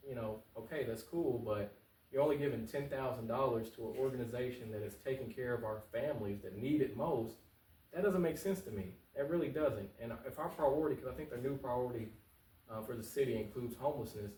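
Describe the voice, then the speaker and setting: A man's voice: mid-range voice, a little mellow. An American man giving earnest, informal interview answers as a political candidate, repeating phrases for emphasis. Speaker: political candidate, talk show guest. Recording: roomy echo, distant mic, faint noise.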